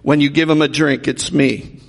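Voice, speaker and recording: A man's voice: a little high, steady tone, moderate pace, vocal fry. A man preaching a sermon in a conversational way, asking the congregation rhetorical questions. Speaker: pastor. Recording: long hall reverb.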